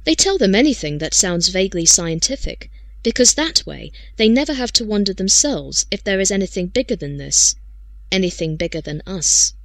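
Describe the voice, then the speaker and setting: A woman's voice: mid-range voice, lively intonation, moderate pace, vocal fry, no echo. A woman reading first-person fiction as an audiobook storyteller.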